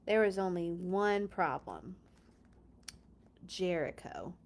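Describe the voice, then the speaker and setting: A woman's voice: lowish voice, animated, slow, slight background noise. A woman hosting a children's read-along, reading a storybook expressively with chatty, playful asides and dramatic emphasis.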